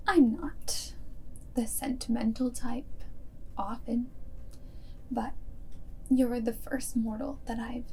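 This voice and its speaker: Very slow, soft-spoken vampire girlfriend, acted by a woman. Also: on the high side, a little hiss or hum, poor audio. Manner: unhurried, deliberate phrasing with breathy whispered stretches. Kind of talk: ASMR roleplay, half-whispered.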